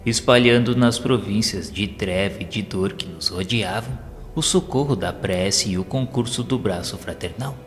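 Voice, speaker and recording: Low-voiced solemn male narrator in reverb. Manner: solemn, formal, measured. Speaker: narrator of an audio novel. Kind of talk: scripted dramatic narration of a spiritual story. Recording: long reverb with faint music behind. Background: music.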